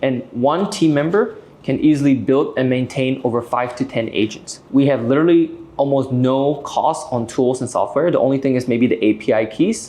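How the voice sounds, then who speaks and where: Young man pitching business advice. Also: darkish tone. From Malaysia. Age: late 20s to early 30s. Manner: direct, persuasive, frequent checking tags like "right?". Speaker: video host and AI agency owner. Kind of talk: explanatory business pitch to camera.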